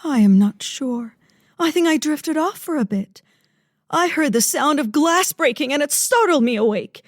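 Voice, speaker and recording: A rich dramatic widow in a radio noir, high voice, swoopy and swoony, pretty dry sound. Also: female voice, expressive, moderate pace, no room sound. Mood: distraught. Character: wealthy melodramatic widow, sultry and self-pitying. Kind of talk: parody of old-time radio detective drama.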